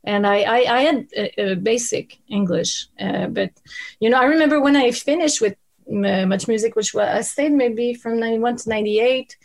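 Brief: French Canadian woman, native French, interview guest, animated storyteller, slightly high voice. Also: creaky. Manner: lively, laughing, quick bursts of speech. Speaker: guest, former TV host.

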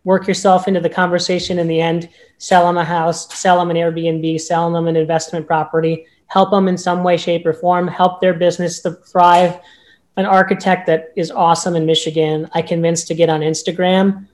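High-voiced man giving emphatic advice. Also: level tone, moderate pace, darkish tone. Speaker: real estate agent guest. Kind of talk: casual podcast interview.

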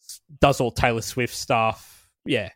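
A man's voice: medium pitch, steady tone, slow, a bit bright, dry recording, studio quality. A man co-hosting a podcast in casual chat, swearing freely and laughing often. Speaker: podcast co-host.

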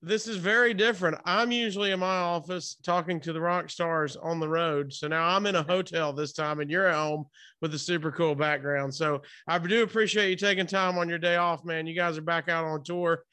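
A man's voice: fairly high pitch, fairly even intonation, brisk, sharp tone, clear, resonant tone. A man hosting a podcast interview in a casual, enthusiastic, informal way. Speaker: podcast and radio host interviewer. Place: hotel room, remote call.

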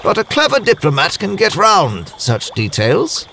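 An actor playing a genteel, proud nobleman diplomat, recounting his story in formal, measured language for an audio drama. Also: sits high, huge pitch swings, gravelly, bright, forceful tone, husky, exaggerated voice. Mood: self-assured, formal. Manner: formal, measured, literary diction. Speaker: voice actor, lead role. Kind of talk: first-person audio drama narration.

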